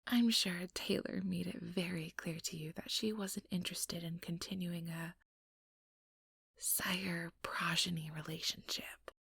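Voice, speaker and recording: A woman playing an ambitious, sugary, manipulative vampire in an ASMR roleplay, speaking in a breathy, whispery voice with coaxing, condescending sweetness. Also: lowish voice, steady tone, measured pace, fairly bright tone, no echo, studio quality, exaggerated voice. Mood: falsely sympathetic. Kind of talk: ASMR roleplay, soft whispered monologue to listener.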